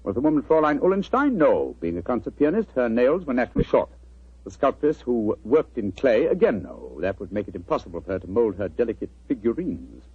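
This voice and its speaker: An actor playing a self-assured English gentleman detective in 1940s radio drama style, clipped and commanding, with a British accent. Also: medium pitch, wildly swooping pitch, brisk, husky, no room sound, heavily acted. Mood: assured, explanatory. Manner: clipped, authoritative, explanatory. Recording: dull old 1940s radio recording.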